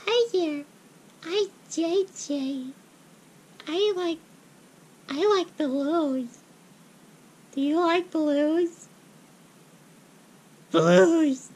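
A man voicing an eager, childlike little animatronic kid in a squeaky, husky falsetto. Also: very slow, long pauses, darkish tone, heavily acted.

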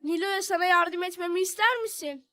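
A woman's voice: very high voice, a little fast, pushed and bright, dry recording. A woman voicing a sensible, helpful young boy, an older brother in a children's cartoon, explaining things simply.

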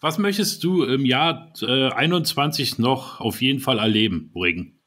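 A husky-voiced man co-hosts a podcast over a video call, wearing a headset. He keeps things easy and agreeable, chiming in to back up the others. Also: medium pitch, animated, moderate pace, fairly bright tone. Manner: agreeable, backs up others with frequent "genau". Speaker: podcast co-host. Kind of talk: casual chat. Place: home room on a video call.

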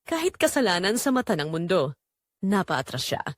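A woman's voice: lowish voice, sing-song, normal speed, no echo, clean studio sound. A woman narrating a scripted first-person romantic drama in an emotive, confessional manner. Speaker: storyteller narrating in character.

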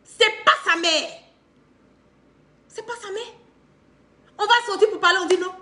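A woman's voice: very high voice, a little slow. A middle-aged woman with an Ivorian accent giving an impassioned, unscripted political monologue to camera, repeating phrases for emphasis. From Ivory Coast. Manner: emphatic, repeats phrases for stress, animated with hand gestures. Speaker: political commentator on a live stream. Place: indoor living room.

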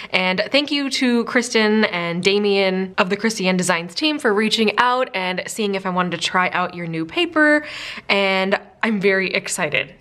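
A woman's voice: medium pitch, a bit bright. A young woman with a slightly stuffed-up voice talks bubbly and chatty, full of likes and ums. She sits at a desk indoors under an overhead camera, testing pens in a casual planner vlog. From Minnesota, USA. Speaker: planner sticker vlogger. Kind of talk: casual chat.

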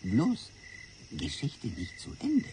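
A man's voice: mid-range voice, huge pitch swings, takes it slowly, warm tone, slight background noise, exaggerated voice. A man playing a solemn Chinese storyteller in a radio play, speaking broken German with swapped r and l sounds. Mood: solemn storytelling. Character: Chinese sage-like man telling an old fable, solemn.

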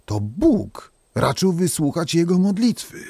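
A man narrating a folk tale as a storyteller, in an expressive, theatrical manner. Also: fairly high pitch, unhurried, vocal fry, husky, no room sound, studio quality.

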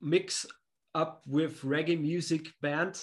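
Relaxed man on a video call. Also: a little high, takes it slowly. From Austria. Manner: relaxed, simple phrasing, non-native English. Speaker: musician guest. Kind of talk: casual interview chat. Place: home room with pictures on the wall.

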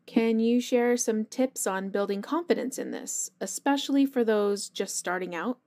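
A woman's voice: mid-range voice, animated, normal speed, dark tone. A woman acting as interview host, reading out scripted questions to a guest.

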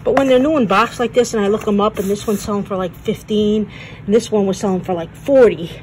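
A woman's voice: medium pitch, quick pace, darkish tone, low-quality recording. A woman chatting casually about her thrift-store finds while filming on a handheld phone. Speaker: thrift reseller vlogger. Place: thrift stores, partly a car. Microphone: handheld phone camera. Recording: phone audio with clear store background noise.

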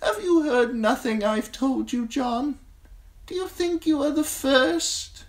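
Woman acting ancient blind old man, weary, gentle, slow grave delivery, high thin voice. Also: lively intonation. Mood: grave, sorrowful.

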